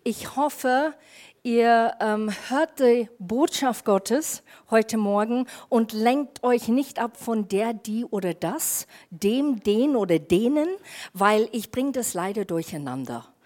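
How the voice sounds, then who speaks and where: Woman preacher, English accent, relaxed slow delivery, casual chatty sermon. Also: a little high. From half German, half English. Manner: informal, chatty preaching with fillers and grammar slips. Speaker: pastor.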